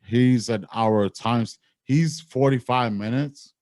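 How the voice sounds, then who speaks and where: Mid-pitched guy arguing about superhero movies, swears constantly, dry sound. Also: takes it slowly, dry recording. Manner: loud, profane, argumentative. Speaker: podcast cohost. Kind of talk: casual movie review chat.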